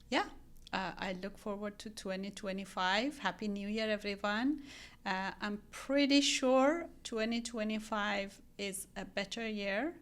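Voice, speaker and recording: A woman from Iran with an Iranian accent, a real estate agent guest on a podcast, talking in an unhurried, warm way and laughing often. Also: mid-range voice, clean tone. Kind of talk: podcast interview.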